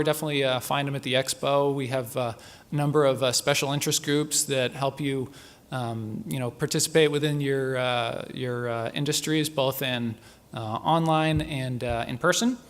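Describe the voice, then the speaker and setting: A man introducing speakers at a conference session, talking in a halting way full of fillers. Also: medium pitch. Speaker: session host.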